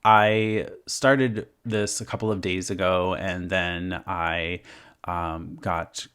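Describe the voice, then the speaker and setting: A man with a hoarse voice after being sick, giving a rambling, casual voice-over commentary full of fillers as a gaming creator. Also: sits low, steady tone, takes it slowly, fairly bright tone. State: hoarse from illness.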